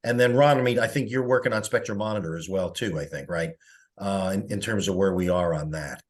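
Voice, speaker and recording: A man's voice: deep voice, a little fast. A man giving a rambling, conversational update as a coordinator on an online meeting call. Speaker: publicity coordinator reporting at a committee meeting. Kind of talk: informal spoken report on a teleconference.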